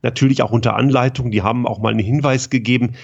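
Gravelly German man, muffled sound. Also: medium pitch, fairly even intonation, rapid-fire. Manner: thoughtful, explanatory, frequent hesitations. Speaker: guest, lean consultant. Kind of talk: conversational interview answers.

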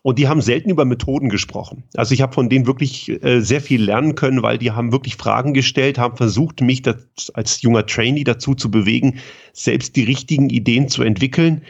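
German guest consultant, gravelly mid voice, measured and explanatory, dull lo-fi line. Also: male voice, mid-range voice. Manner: thoughtful, explanatory, frequent hesitations. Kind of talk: conversational interview answers.

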